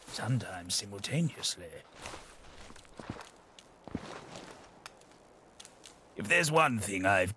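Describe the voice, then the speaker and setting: An actor with a British accent playing a wordy, theatrical, mock-grand adventuring companion in a video game. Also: male voice, fairly low pitch, pushed and bright, slight background noise, full character voice. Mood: wry. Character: jaunty, theatrical, long-lived British adventuring companion, male. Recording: game music behind the voice. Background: game music.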